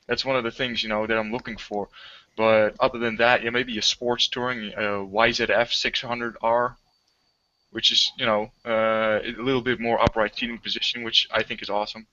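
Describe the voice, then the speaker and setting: Rambling bright-voiced man on a call. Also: lowish voice, little pitch movement, moderate pace, slight background noise. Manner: rambling, many fillers. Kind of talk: casual chat. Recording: dull lo-fi online call audio.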